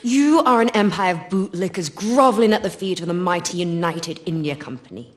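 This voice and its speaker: A woman's voice: on the low side, close to an everyday voice. Woman acting a composed, commanding rebel queen. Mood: accusing. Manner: measured, formal, authoritative.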